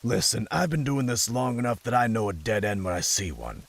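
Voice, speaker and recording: Man playing husky, jaded old-school cop. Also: on the low side, animated, fairly quick. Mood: dismissive. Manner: folksy, grumbling, dismissive. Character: gruff, jaded, food-loving male police detective. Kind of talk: audio drama acting.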